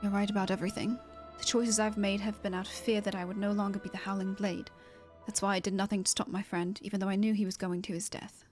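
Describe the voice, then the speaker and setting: A woman's voice: medium pitch, little pitch movement, quick pace, faint music behind, pitched below the performer's own voice, natural voice. A woman voicing a proud, remorseful male warrior from a video game in a formal, earnest manner.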